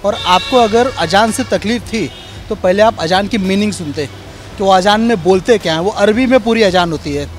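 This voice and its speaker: An Indian man making an argument in a street interview. He is emphatic and repeats his points in a high voice, with faint city noise behind him. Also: normal speed. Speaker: member of the public giving opinion. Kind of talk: argumentative vox pop opinion.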